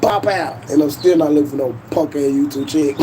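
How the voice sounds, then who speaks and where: A man's voice: on the high side, a little fast, background noise. A man, hosting a casual livestream in a boastful, profane, laughing way. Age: 40s. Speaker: YouTube livestream host.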